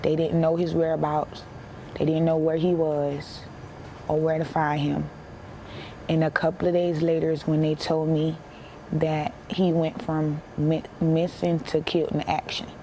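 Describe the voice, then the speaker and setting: A young American woman speaks in a low, level voice as an interviewee. Her telling is plain and halting, with emphatic repetition. There is some background noise on the recording. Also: little pitch movement, warm tone, rough recording. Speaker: interviewee, bereaved military widow. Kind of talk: emotional personal account in a broadcast interview.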